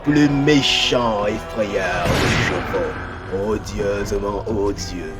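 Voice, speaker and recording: A man's voice: lowish voice, drawn-out words, over loud music. A man voicing a boastful, bumbling, cowardly young cowboy outlaw in a cartoon dub, blustering theatrically. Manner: blustering, theatrical bragging that cracks into panic. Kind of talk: cartoon fan dub acting.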